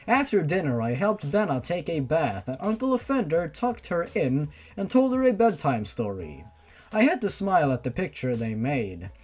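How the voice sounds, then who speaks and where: A man's voice: a little high, a little mellow. A man giving a theatrical, exaggerated comedic reading of fan fiction as narrator, breaking in with his own asides. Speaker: story reader.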